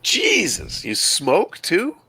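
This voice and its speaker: A man's voice: high-pitched, huge pitch swings, slow pace, bright, forceful tone, husky, pitched above the performer's own voice, heavily acted. A man playing an anxious, polite teenage boy in a script read, hesitant and uneasy. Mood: shocked.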